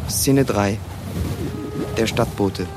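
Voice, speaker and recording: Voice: mid-range voice, very slow, loud music behind the voice. A narrator reading stage directions in a radio play, matter-of-fact and detached.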